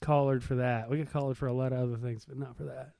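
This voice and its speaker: A man's voice: medium pitch, steady tone, fast, creaky, warm tone, dry recording, studio quality. A middle-aged man chatting casually and telling anecdotes with wry, irreverent humor.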